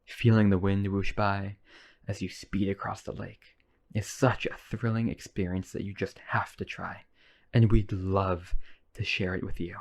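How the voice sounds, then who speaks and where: A man's voice: deep voice, level tone, a little slow. A man playing a lonely, obsessive, wealthy vampire brother in a boyfriend ASMR roleplay, coaxing and polite. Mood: eager, coaxing.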